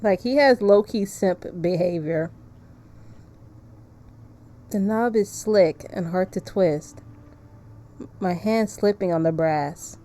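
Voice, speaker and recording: A woman's voice: fairly low pitch, takes it slowly, pauses often, mellow. A woman streaming a visual novel, chatting casually and reading the story text aloud.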